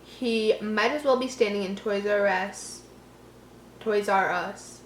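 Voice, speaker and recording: A woman's voice: mid-range voice, takes it slowly, reverberant, a little hiss or hum, poor audio. A woman reading a horror story aloud casually, giggly and reactive, breaking off to joke and comment.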